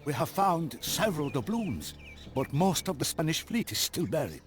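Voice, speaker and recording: Casting: eager game minion, highish voice, formal and deferential, very lively pitch. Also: male voice, normal speed, over music. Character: dutiful, eager henchman reporting to his villain master. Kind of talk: video game cutscene acting.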